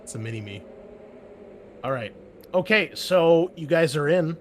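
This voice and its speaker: A man's voice: fairly high pitch, animated, a little slow, a little hiss or hum. A man serving as game master, talking loosely with lots of filler words. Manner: loose and chatty, full of "like" and "um" fillers. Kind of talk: running a tabletop game over a call.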